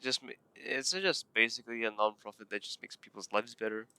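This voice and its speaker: A man's voice: mid-range voice, brisk, sharp tone, a little hiss or hum. A man casually commenting as he plays a game, sometimes reading text aloud. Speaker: game streamer.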